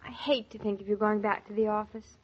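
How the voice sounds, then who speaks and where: A woman's voice: mid-range voice, little pitch movement, fairly quick, lightly acted. An actress playing a playful, affectionate young American newlywed wife who frets over her husband, in old-time radio drama style. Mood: wistful, affectionate. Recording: muffled vintage radio transcription.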